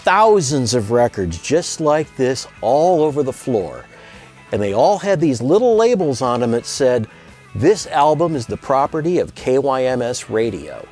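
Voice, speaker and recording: A man's voice: medium pitch, very animated, a little slow, darkish tone. A man presenting a scripted promotional narration as the person behind a music archive project. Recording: music bed under voice.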